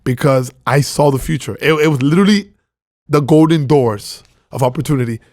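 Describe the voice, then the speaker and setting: A man's voice: medium pitch, brisk, darkish tone, no echo, studio quality. A man from Venezuela, a podcast guest, telling his story in casual chat and acting out his own past reactions. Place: podcast studio.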